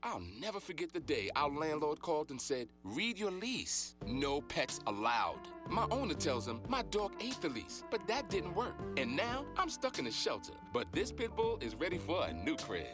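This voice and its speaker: A muscular but lovable shelter pit bull acted out for a radio ad talks in a mid-pitched, wildly animated voice. It is proud and playful, punching key words over a music bed. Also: moderate pace, hardly any pauses. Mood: mock sorrowful. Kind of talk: scripted public service ad. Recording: loud music bed under the voice.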